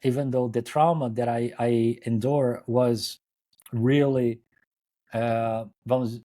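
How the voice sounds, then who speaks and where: A middle-aged man with a Brazilian Portuguese accent tells his story as an interview guest. His voice is warm and mellow at a middle pitch. He talks unhurriedly with many fillers and restarts, heard over a video call. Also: steady tone, slow pace. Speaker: guest yoga teacher. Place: home, joining remotely.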